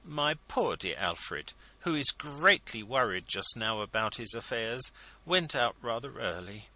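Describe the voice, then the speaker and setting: A man voicing a gushing, flattering scheming wife who pleads sweetly in a storybook reading. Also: mid-range voice, unhurried, pitched above the performer's own voice, heavily acted, rough recording. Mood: gushing pleading.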